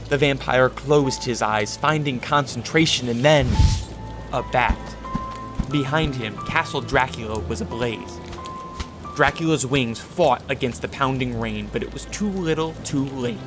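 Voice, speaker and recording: A man giving dramatic scripted narration for a radio adventure story. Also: mid-range voice. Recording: music behind the voice.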